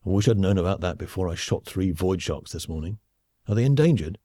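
A man's voice: low voice, lively intonation, brisk, mellow, no echo, studio quality. A man voicing a polite, slightly baffled spaceship captain in an audiobook, hesitant and understated. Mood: mild, musing. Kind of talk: audiobook character acting.

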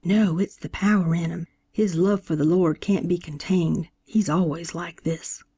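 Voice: medium pitch, fairly even intonation, unhurried, warm tone, breathy edge. A narrator voicing a devout young girl whispering in church. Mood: earnest whisper.

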